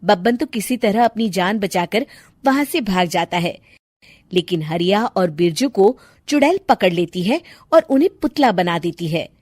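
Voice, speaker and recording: A woman's voice: medium pitch, animated, normal speed, darkish tone, slightly rough, no echo, studio quality. A woman giving scripted narration for a cartoon story as the storyteller.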